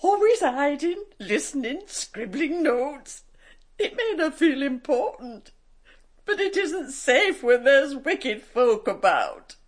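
An actress playing a fretful, devoted nanny in a radio drama, talking plaintively in folksy, old-fashioned phrases. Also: female voice, high-pitched, sing-song, slow pace, heavily acted. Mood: plaintive, fond.

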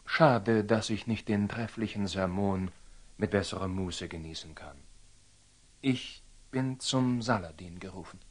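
An actor playing a proud, blunt young knight with formal, terse delivery in a classic German radio drama. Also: male voice, on the low side, measured pace. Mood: sardonic. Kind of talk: theatrical German radio drama acting of classic stage verse.

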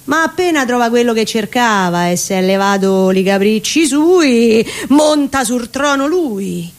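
A woman's voice: a little high, expressive, unhurried. A woman with a Roman accent giving a theatrical recitation of comic dialect verse.

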